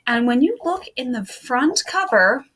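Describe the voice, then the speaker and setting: A woman in her thirties with a high, very animated voice. She talks directly to children and family in a casual way and reads simple poems aloud as a storyteller. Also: unhurried, breathy edge. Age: 30s. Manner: addresses young listeners and family directly.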